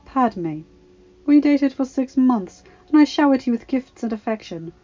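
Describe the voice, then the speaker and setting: A woman voicing a smooth, persistent male senator courting an old flame in an audio story. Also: high voice, a little slow, dull audio, faint music behind, voice pushed up. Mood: wounded, pleading. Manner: smooth, flattering.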